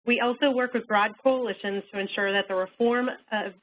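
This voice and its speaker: A woman with a cold, sounding hoarse, giving a prepared webinar presentation with fillers and throat clearing. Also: fairly high pitch, steady tone, fairly quick. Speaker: webinar presenter, legal counsel. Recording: tinny phone-line audio with faint hiss.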